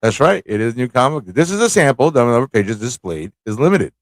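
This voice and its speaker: A man's voice: medium pitch, big pitch swings, fast, dry recording. A man hosting a casual live stream, thinking aloud in an easygoing, informal way as he chats, reads aloud and reacts.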